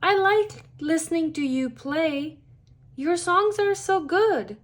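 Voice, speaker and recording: A woman's voice: extremely high pitch, lively intonation, slow pace, pitched above the performer's own voice, full character voice. A woman voicing a cheerful, easygoing young male cartoon cat who plays guitar, upbeat and encouraging.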